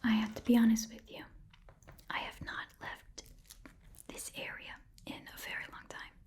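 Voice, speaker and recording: A woman voicing a shrewd, chatty goblin shopkeeper in a breathy whisper, rambling and muttering to herself as she haggles in an ASMR roleplay. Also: a little high, monotone, normal speed, darkish tone, close to an everyday voice. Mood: appraising, skeptical. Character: shrewd, chatty goblin pawn shop keeper.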